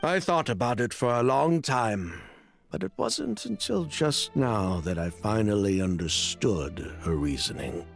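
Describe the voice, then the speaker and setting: A man's voice: fairly low pitch, big pitch swings, a little slow, gravelly, faint music behind, heavily acted. An actor voicing a weary male ex-convict in a game, explaining his past in a heavy, resigned way with frequent sighs. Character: weary male ex-convict, bitter, confessing old schemes. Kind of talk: game voice acting.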